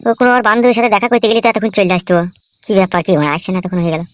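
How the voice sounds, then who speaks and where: A man voicing a lovesick, foul-mouthed young husband in a comedy cartoon, high-pitched and melodramatic, pleading and grumbling. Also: very fast, dry recording, natural voice. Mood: despairing. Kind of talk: amateur comedy cartoon dubbing. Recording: music under the voice.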